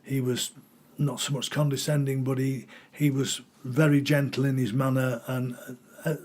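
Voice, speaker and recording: A man's voice: medium pitch, level tone, moderate pace, darkish tone. An older man born in Scotland, telling rambling stories as an interview guest and often repeating words while he hunts for names. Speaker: guest, former rugby player. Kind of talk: conversational interview storytelling.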